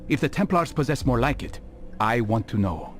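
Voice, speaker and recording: A man's voice: medium pitch, lively intonation, normal speed, soft background music, lightly acted. Stern, dry-witted assassin acted by a man. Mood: grave, purposeful. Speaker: video game character. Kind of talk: scripted game cutscene acting.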